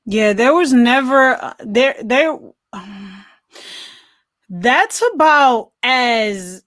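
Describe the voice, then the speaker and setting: A woman's voice: fairly high pitch, animated, drawn-out words, fairly bright tone, no room sound, studio quality. An American woman co-hosting a casual movie discussion, thinking aloud in a conversational way. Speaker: podcast co-host reviewing films. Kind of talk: casual chat, film discussion.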